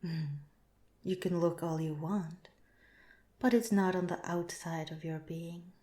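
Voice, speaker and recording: A woman voicing a calm, clinical alien researcher in an ASMR roleplay, speaking softly with measured, formal politeness. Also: fairly low pitch, close to an everyday voice. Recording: roomy, echoing sound.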